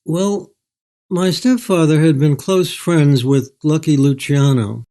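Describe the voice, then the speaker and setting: An older man telling stories as an author in an interview, in a measured, anecdotal way. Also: a little high, dark tone. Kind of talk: storytelling in an interview.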